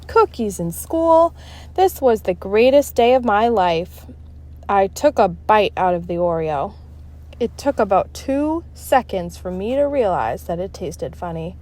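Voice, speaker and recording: A woman reading a children's book aloud in an expressive, emphatic storytelling style. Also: medium pitch, unhurried. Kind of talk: read-aloud story narration.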